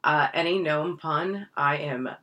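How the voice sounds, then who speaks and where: A woman hosting a podcast in casual chat, loud and laughing, swearing freely and using many fillers. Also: sits low, a little slow, noisy background. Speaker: podcast host.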